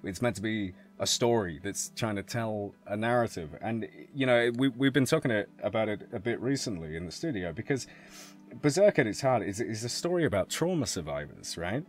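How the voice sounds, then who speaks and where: A man's voice: lowish voice, lively intonation, fairly quick. A man speaking as an interviewed director in a casual, informal chat, thinking aloud as he answers. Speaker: animation director being interviewed. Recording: music playing underneath. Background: music.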